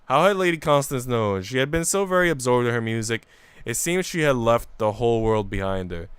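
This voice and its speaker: A man's voice: medium pitch, lively intonation, brisk, clean tone, no room sound. A man streaming a visual novel, switching between casual, slangy chat and reading the story aloud. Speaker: game streamer.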